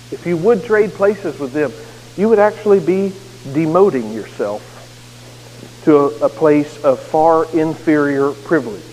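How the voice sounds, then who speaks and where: A man's voice: a little high, darkish tone. American man preaching in an echoey sanctuary. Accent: neutral American. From United States. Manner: earnest preaching with self-deprecating humor. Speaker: pastor. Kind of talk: sermon. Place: church sanctuary. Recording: echoey sanctuary with faint hiss.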